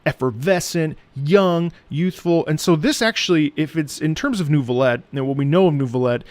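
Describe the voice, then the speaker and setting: A man who is an opera singer giving reaction commentary on game music, halting and thoughtful as he searches for words. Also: a little high, a little mellow, dry recording. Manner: breaks into sung demonstrations of musical phrases.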